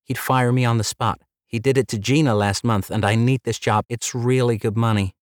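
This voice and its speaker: A man's voice: medium pitch, steady tone, normal speed, smooth, dry recording, clean studio sound, voice pushed up, close to an everyday voice. A man putting on a chirpy young receptionist woman. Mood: flustered. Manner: chirpy, courteous, apologetic but unbending. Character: polite young female office receptionist, firm.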